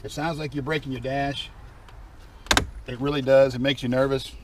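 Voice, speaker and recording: Male DIY vlogger, mid-pitched, folksy and informal, explaining hands-on steps; clearly noisy in-vehicle recording. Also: flat delivery, moderate pace. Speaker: DIY vlogger demonstrating a car repair. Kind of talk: casual hands-on tutorial talk. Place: inside or beside a Jeep.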